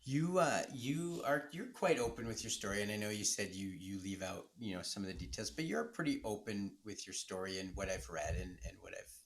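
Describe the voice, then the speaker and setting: A man's voice: on the low side, expressive, a little fast, few pauses. A man hosting a podcast with a Canadian accent, asking long, friendly, rambling questions full of restarts and fillers. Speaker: podcast host. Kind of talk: conversational interview questions.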